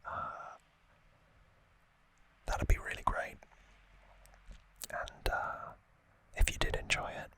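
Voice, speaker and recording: Whispering man, soft ASMR ramble. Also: slow, long pauses. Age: late 20s or older. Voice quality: breathy, unvoiced whisper. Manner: rambling, hesitant, self-deprecating. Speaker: ASMR channel host. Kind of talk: whispered ASMR unboxing chat.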